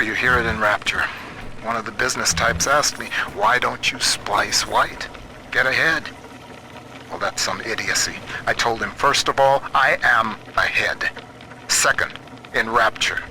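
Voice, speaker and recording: An actor playing a shrewd, principled American computer scientist in a video game, middle-aged, wry, measured and plain-spoken. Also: male voice, lowish voice, noisy background. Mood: wry, defiant. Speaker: guide character. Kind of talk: video game voice acting, audio diaries and radio guidance.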